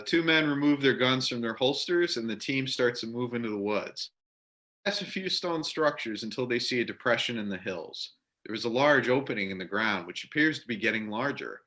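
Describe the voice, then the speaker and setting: A man's voice: medium pitch, lively intonation, normal speed, sharp tone. A man giving scripted narration, reading stage directions for an amateur readers theater play.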